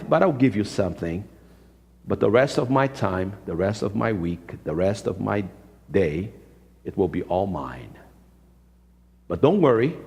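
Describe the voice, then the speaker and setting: Male pastor, fifties, Brazilian accent, low gravelly voice, emphatic sermon delivery with repeated phrases. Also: a little mellow. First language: Portuguese. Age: 50s. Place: church sanctuary. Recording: reverberant hall, live-streamed church service.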